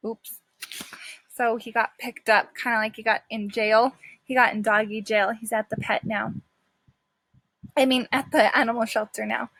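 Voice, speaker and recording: A woman's voice: on the high side, fairly even intonation, moderate pace, vocal fry, pauses often, fairly bright tone, no echo, low-quality recording. A woman teacher reading a children's story aloud expressively, with simple explanatory asides to her young listeners.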